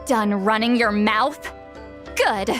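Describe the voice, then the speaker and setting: A bossy menacing shaman in a game, high and swoopy, music behind. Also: slow pace, a bit bright. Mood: cool menace. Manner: menacing, imperious. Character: proud, sharp-tongued, long-lived shaman woman with youthful voice. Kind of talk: video game voice acting.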